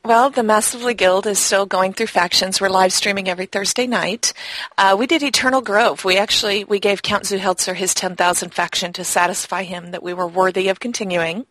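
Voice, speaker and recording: A woman co-hosts a casual gaming podcast in a mid-range voice. She is chatty and teasing, quick to laugh at her partner. The sound is dry and close. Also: mid-range voice, fairly bright tone, dry recording, low-quality recording. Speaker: podcast co-host.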